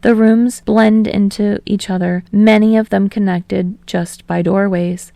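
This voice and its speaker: A woman's voice: medium pitch, steady tone, unhurried, creaky, dark tone, dry recording. A woman reading fiction aloud as an audiobook narrator, in a scripted storytelling style.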